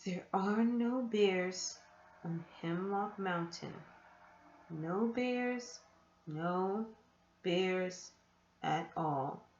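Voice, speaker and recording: A woman's voice: fairly low pitch, drawn-out words, long pauses, a little mellow, a little hiss or hum. A woman voicing a polite, earnest young boy who tries to be brave in a children's storybook. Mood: determined, weary. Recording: echoey room, dull muffled sound.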